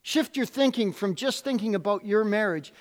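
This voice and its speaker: Loud emphatic man preaching. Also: high voice, lively intonation, moderate pace. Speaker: pastor. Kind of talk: sermon.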